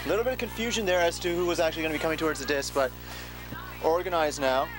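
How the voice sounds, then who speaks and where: A man doing relaxed, joking play-by-play commentary at an outdoor game, with plenty of fillers. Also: a little high, racing, over loud music. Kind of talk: casual sports commentary. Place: outdoor sideline of a rainy field. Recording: noisy outdoor recording.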